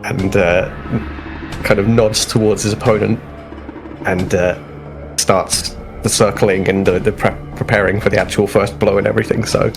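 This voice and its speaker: A man's voice: lowish voice, level tone, moderate pace, creaky, over music. A man playing a tabletop roleplaying game, casually describing what his character does.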